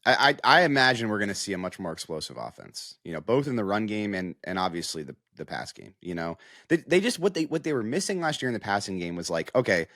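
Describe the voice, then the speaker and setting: A man's voice: fairly low pitch, very animated, fast, a bit bright, no room sound, clean studio sound. A man speaking as a guest sports analyst, explaining things in a casual, conversational way.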